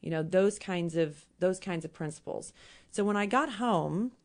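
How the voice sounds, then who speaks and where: A hoarse woman with a Midwestern American accent, a company president giving earnest explanations as a guest in a casual interview. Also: on the low side, animated, moderate pace. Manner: earnest, explains with everyday examples.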